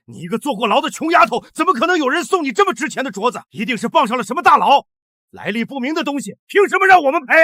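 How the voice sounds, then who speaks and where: Shrill, blustering middle-aged father. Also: sing-song, quick pace, pushed and bright, dry recording, full character voice. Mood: scornful disbelief. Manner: indignant, overbearing. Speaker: actor in a short web drama. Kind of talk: melodramatic short-drama acting.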